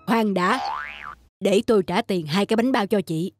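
A woman voicing a kindly, generous middle-aged man who runs an eatery in a cartoon, gentle and fatherly. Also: mid-range voice, normal speed, over music, natural voice. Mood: kind, reassuring. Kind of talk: cartoon voice acting.

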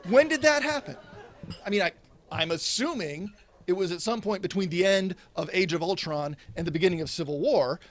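A man in his thirties doing a deadpan stand-up comedy routine at a stand mic, gesturing as he talks. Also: high-pitched, fairly quick, a little hiss or hum. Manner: deadpan delivery with animated, sweeping hand gestures. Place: indoors, small set in front of a red curtain. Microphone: stand mic in front of him.

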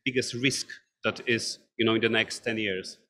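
Man, young adult, moderator, hesitant phrasing, bright tense tone, raspy. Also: on the low side, fairly even intonation, normal speed. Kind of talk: panel moderation. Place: conference pavilion stage, indoors. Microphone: stand microphone.

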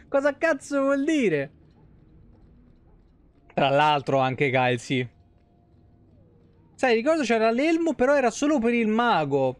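A man in his thirties with a smooth, clear voice that sits a little high. He streams games live in a casual, informal way, swearing freely as he talks to chat. The sound is close and dry. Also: no echo.

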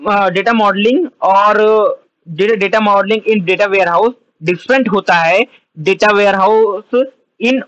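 A man with a fairly high voice lectures over a screen recording, putting a question and then answering it himself as he goes. His voice is close and dry, with a slightly dull, muffled sound. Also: a bit bright, dry recording. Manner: explains step by step, asks and answers own questions. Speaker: computer science teacher. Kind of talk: whiteboard lecture.

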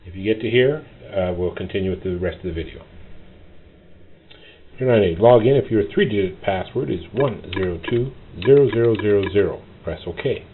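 A man narrating a step-by-step tutorial as an instructor, often in short, halting instructional phrases. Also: lowish voice, lively intonation. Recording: tinny phone-like audio, clear noise.

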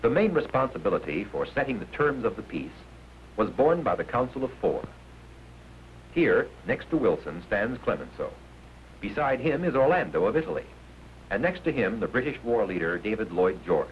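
A man giving formal, measured, emphatic scripted narration in the manner of a 1950s educational film. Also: lowish voice, very animated. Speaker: documentary narrator. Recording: dull, noisy 1950s film soundtrack.

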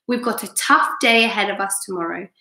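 Weary, disdainful elderly grasshopper gentleman for a storybook a woman acting, measured and stern, echoey room. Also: mid-range voice, gravelly. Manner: slow, scornful. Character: scornful old male grasshopper musician, grave and weary.

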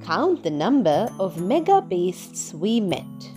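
A woman narrating a scripted children's word list and quiz questions in deliberate, separated phrases. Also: mid-range voice, very animated, dark tone, soft background music.

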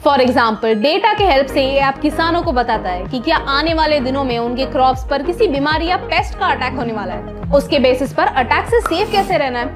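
A woman co-presenting a scripted explainer with a clear, informative delivery. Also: high voice, lively intonation, fairly quick, few pauses. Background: background music.

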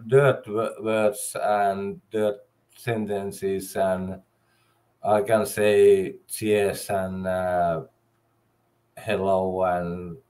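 Slow, low-voiced Finnish man. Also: flat delivery, warm tone. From Finland. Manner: halting, searching for English words. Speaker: livestream guest. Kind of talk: casual chat. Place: indoor room at home, video call.

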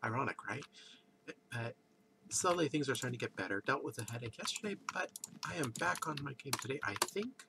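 A man's voice: medium pitch, animated, gravelly. Stuffy-nosed man chatting over gameplay. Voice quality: congested, stuffy nose. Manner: rambling, thinks aloud with pauses. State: just woken up, groggy. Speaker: let's play host. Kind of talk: casual gameplay commentary. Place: home at a computer. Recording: background noise with keyboard clicks.

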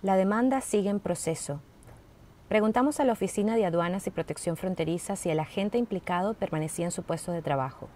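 A woman's voice: mid-range voice, quick pace, a little mellow. A woman reading scripted narration for a news report.

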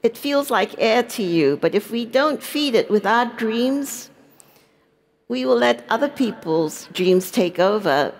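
An older woman from Singapore addresses a large conference audience from the stage. She speaks earnestly and builds to a rousing call, posing rhetorical questions along the way. Her voice reaches a headset mic on a big stage. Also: medium pitch. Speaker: panelist. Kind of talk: conference panel talk.

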